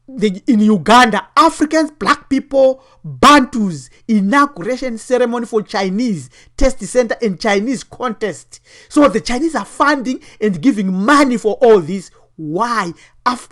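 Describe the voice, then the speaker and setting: A woman's voice: a little high, a bit bright. A woman giving preachy commentary while walking through web pages, full of rhetorical questions. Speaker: online teacher and commentator.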